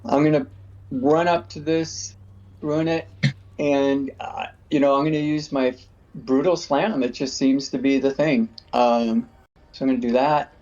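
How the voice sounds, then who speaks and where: A man's voice: medium pitch, steady tone, a little slow, darkish tone. A man playing in a tabletop game, thinking aloud in a hesitant, casual way. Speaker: player. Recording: muffled voice call audio.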